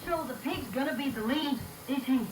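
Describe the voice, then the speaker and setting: A woman playing a gruff, grumbling middle-aged male studio boss in a story read on an old reel-to-reel tape. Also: high voice, moderate pace, lightly acted. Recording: echoey, noisy reel-to-reel tape.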